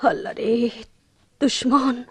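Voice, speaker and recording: An actress playing a sorrowful, brooding village wife with a rural Bangladeshi accent in a radio drama monologue, halting and breathy, drifting into whispers. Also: female voice, on the high side, steady tone. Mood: anguished.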